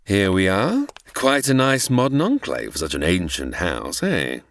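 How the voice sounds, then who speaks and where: Wildly theatrical, gloating mad-scientist villain. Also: male voice, deep voice, huge pitch swings, measured pace, slight background noise, full character voice. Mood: sly, gloating. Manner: theatrical, condescending, formal. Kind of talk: old-time radio melodrama parody acting.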